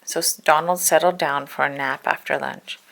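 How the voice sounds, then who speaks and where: A woman's voice: sits low, steady tone, normal speed, vocal fry, fairly bright tone. A woman narrating a children's story as a read-aloud. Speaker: storybook reader.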